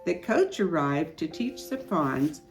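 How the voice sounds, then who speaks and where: An older woman reading a children's storybook aloud in an expressive, unhurried way. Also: sits low, vocal fry. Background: music.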